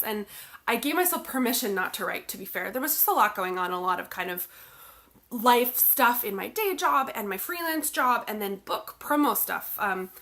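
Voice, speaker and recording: A woman's voice: mid-range voice, sharp tone. A young woman author, congested from the flu, chatting casually to camera with lots of fillers. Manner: chatty, bubbly, many "like" and "um" fillers. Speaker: author and video blogger.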